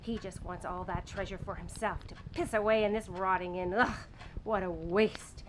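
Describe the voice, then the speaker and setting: An actress playing a young, greedy, bossy wife in an audio drama, speaking with impatient, pushy persuasion. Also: female voice, mid-range voice, fairly even intonation, normal speed, slight background noise, natural voice, rough recording. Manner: impatient, wheedling, commanding.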